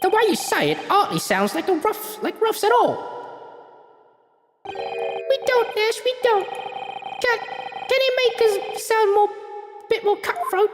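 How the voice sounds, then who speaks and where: A woman voicing a small, scrappy young male crook with a put-on Cockney accent and a squeaky high voice. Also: sing-song, takes it slowly, long pauses, roomy sound, long reverb tail, full character voice. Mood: pleading. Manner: wheedling, cheeky.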